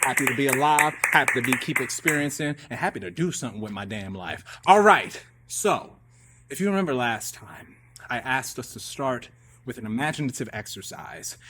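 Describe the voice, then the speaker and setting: A man's voice: mid-range voice, lively intonation, normal speed, sharp tone. A man hosting a radio show live, talking in a theatrical, emphatic, playful and slangy improvised monologue. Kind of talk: animated improvised monologue and storytelling to a live audience.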